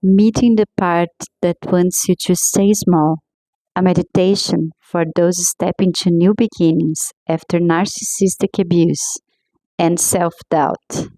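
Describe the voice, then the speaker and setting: A woman guiding a meditation in a slow, soothing, gently paced scripted voice. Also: fairly low pitch, steady tone, dry recording, poor audio. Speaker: meditation guide.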